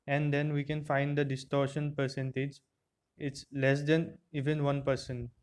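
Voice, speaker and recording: A man's voice: medium pitch, flat delivery, a little slow. A man narrating an unboxing and product review in a plain, step-by-step explaining way, recorded on an earphone microphone.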